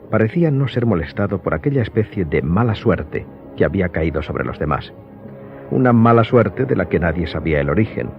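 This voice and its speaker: A man narrating a scripted radio drama as the storyteller. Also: low voice. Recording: slightly dull audio, music behind.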